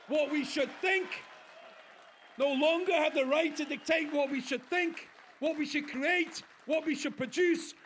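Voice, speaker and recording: Gravelly high-voiced man rousing a rally. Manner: rousing, declamatory, shouting at peaks. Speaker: rally speaker. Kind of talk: impassioned political rally speech. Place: outdoor rally stage.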